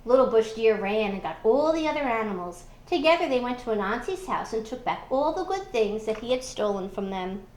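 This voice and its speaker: A woman reading a children's storybook aloud in expressive narration. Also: a little high, brisk, hardly any pauses, reverberant, rough recording. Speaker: school storybook reader.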